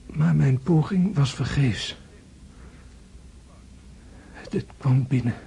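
An actor playing a gravely wounded prince in a radio drama, tender and philosophical, speaking in hushed, halting, weakened tones. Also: male voice, mid-range voice, full character voice. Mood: haunted, fearful. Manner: hushed, halting, intense delivery, often whispering. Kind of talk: Dutch radio drama acting.

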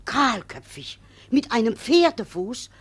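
A husky, gossipy village woman. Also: a little high, big pitch swings, takes it slowly, full character voice. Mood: eager telling. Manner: breathless, vivid storytelling. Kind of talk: 1950s radio play acting.

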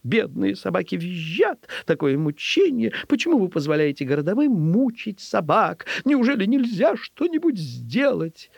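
A man's voice: high voice, very animated, normal speed, creaky, hardly any pauses, breathy edge, no room sound, pitched above the performer's own voice, exaggerated voice. A man voicing a distressed, tearful lady who pleads plaintively in an audio story. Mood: distressed, pleading. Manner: plaintive, gasping exclamations.

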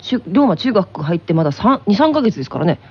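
Quick, lively woman co-hosting radio. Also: medium pitch, breathy edge. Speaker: radio show co-host. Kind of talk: casual radio chat. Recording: dull radio audio, loud music behind.

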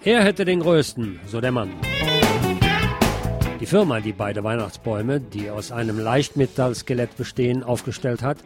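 Man, radio presenter, scripted news read, medium pitch, faint guitar music behind. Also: expressive, normal speed.

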